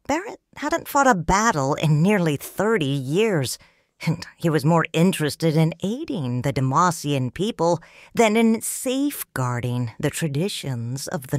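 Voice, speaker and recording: A low-voiced woman narrates an audio drama as a proud, grieving noblewoman. Her delivery is slow and formal, with expressive swings in pitch, recorded very dry and close. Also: no echo. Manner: measured, solemn, formal. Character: grieving, proud noble widow, mature and restrained. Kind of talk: scripted first-person audio drama narration.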